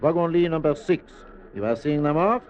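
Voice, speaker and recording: Man acting a highish-voiced station clerk. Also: animated, moderate pace, darkish tone, natural voice. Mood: helpful. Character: obliging French-speaking railway station clerk. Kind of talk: 1950s radio drama acting. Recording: dull 1950s radio recording.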